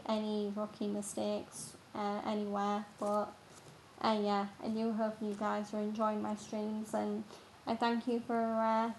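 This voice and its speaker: A woman's voice: medium pitch, a little hiss or hum, rough recording. A young woman from England with an English accent chatting casually on her live stream, with many ums and uhs. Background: game music.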